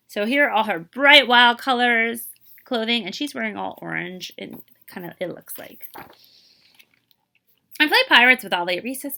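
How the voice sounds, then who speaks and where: Bright, animated woman reading to children. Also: a little high. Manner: expressive, explanatory, asks the listener questions. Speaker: librarian reading aloud to children. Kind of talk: expressive children's picture-book read-aloud with asides.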